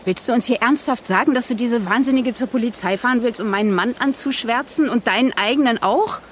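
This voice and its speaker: An actress playing an indignant wife in an audio drama, incredulous, sharp-tongued and dismissive. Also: female voice, a little high, a little fast, a little hiss or hum, close to an everyday voice. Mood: hostile. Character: indignant, scornful wife defending her husband. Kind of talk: naturalistic audio drama acting.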